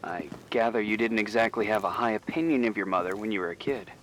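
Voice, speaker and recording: A man playing an awkward, curious, playful young confidant in a game, with a halting, teasing, conversational delivery. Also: fairly low pitch, fairly bright tone, a little hiss or hum, close to an everyday voice, rough recording. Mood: attentive. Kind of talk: naturalistic game dialogue.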